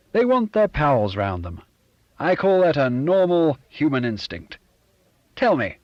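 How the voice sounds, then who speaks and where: A man's voice: fairly high pitch, dry recording, dull audio, pitched above the performer's own voice. A man reading an audiobook and voicing a curt, pushy man who puts on a fake genteel accent and talks down to his friend. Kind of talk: audiobook character voice.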